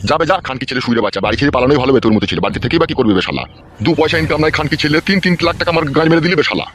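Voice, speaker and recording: Man playing a fast-swearing, disappointed village father. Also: a little high, animated, fairly bright tone, slight background noise. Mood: furious. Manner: blustering, swearing, threatening. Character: gruff, furious father berating his wayward grown son. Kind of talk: comic cartoon dialogue in village family quarrel.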